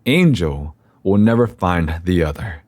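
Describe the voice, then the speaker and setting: Man playing a slow, deep, ominous scholar. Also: lively intonation, a little mellow, no room sound. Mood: ominous, zealous. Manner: formal, deliberate, theatrical. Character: grave, ominous male university scholar guarding occult secrets. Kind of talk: horror audio drama acting.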